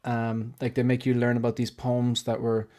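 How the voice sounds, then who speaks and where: A man's voice: medium pitch, completely flat delivery, moderate pace, mellow. An Irish man co-hosting a casual chat, rambling and swearing now and then. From Ireland. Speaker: co-host.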